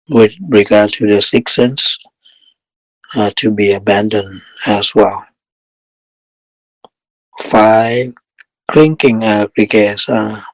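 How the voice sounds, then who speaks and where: Male meditation guide, lowish voice, flat calm delivery in short measured phrases, close dry sound. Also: no room sound, low-quality recording. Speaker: meditation teacher leading a guided session.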